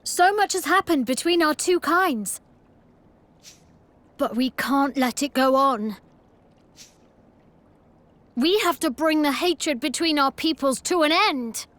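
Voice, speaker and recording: A woman's voice: sits high, lively intonation, takes it slowly, pauses often, a bit bright, no echo, exaggerated voice. A woman voicing an earnest young boy king in a video game. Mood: earnest, regretful.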